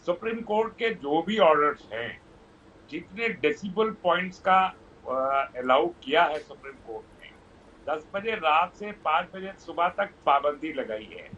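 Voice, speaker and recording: High-voiced man on muffled, noisy feed. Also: expressive, measured pace, bright, forceful tone. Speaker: political debate panelist. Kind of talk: live TV debate commentary.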